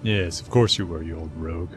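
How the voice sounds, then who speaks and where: A man's voice: sits low, a little fast, music in the background, natural voice. An actor playing a teasing, watchful armed spaceship crewman in an audio drama.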